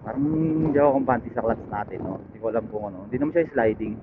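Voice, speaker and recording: A man's voice: on the low side, fast. Filipino man vlogging over phone-like helmet mic. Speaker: motorcycle vlogger. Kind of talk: casual unscripted ride chat to viewers. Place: outdoors, riding a motorcycle. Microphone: helmet intercom headset linked to action camera. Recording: phone-like helmet intercom mic, loud engine noise. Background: loud motorcycle engine and road noise.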